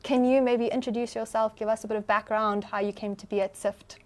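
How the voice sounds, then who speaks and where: A woman's voice: fairly high pitch, steady tone, brisk, a little mellow. A South African woman hosting a business podcast interview, upbeat and chatty with casual fillers. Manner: upbeat, chatty, uses fillers like "you know". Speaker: podcast host.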